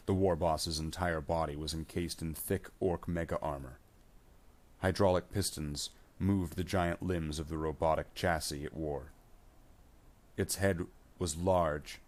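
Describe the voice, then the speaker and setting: A man reading scripted science fiction battle narration. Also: extremely low pitch.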